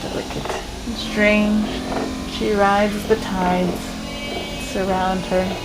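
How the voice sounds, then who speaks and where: A woman narrating in a solemn, poetic, deadpan mock-documentary style. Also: mid-range voice, slow pace, poor audio. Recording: loud music behind the voice.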